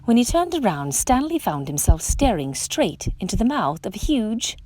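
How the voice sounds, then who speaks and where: Animated woman reading a children's story. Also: mid-range voice, moderate pace, no room sound, low-quality recording. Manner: expressive, dramatic storytelling. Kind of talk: animated picture-book read-aloud.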